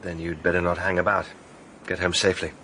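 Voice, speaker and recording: Dry, stubborn British gentleman. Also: deep voice, little pitch movement, fast, a little hiss or hum. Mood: cool, polite. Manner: clipped, formal, dry. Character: proud, stubborn, eccentric gentleman, dry and unflappable. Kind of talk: radio drama acting.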